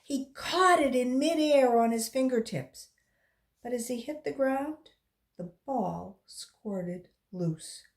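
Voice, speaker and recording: Female volunteer storybook reader, higher-pitched and slow-paced, expressive and deliberate delivery for young listeners. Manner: deliberate, expressive phrasing for children. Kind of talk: read-aloud storybook narration with brief asides.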